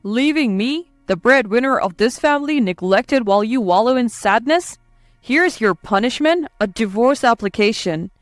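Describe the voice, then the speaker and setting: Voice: mid-range voice, animated, normal speed, no room sound, close to an everyday voice. A performer voicing a domineering, irritable husband in a narrated story, scolding and commanding with contempt. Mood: contemptuous, commanding. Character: domineering, irritable, sneering husband berating his wife. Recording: dry close voice over faint music.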